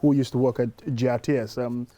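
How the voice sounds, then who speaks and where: A man with a Gambian accent talking through sports matters in an explanatory, measured, conversational way, as a journalist on a talk show. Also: medium pitch, level tone, mellow.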